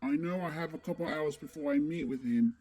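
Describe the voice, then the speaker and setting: A woman playing a stern, determined masked vigilante in a superhero audio drama, with a low, husky voice and terse, serious manner. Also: fairly quick, dark tone.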